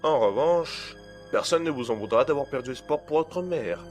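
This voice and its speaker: A man playing a cold, menacing blackmailer in an audio drama, speaking in a smooth, condescending and formal way. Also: medium pitch, animated, moderate pace, soft background music, lightly acted. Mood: coldly threatening. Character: cold, menacing male blackmailer and hacker, smugly in control. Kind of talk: amateur audio drama acting.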